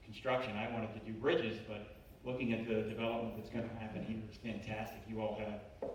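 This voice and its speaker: A man gives a friendly self-introduction that is hesitant and full of fillers. His pitch is lowish. The mic is far off in a very echoey room, and a light noise floor runs underneath. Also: level tone, brisk. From Baltimore, USA. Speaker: finance presenter.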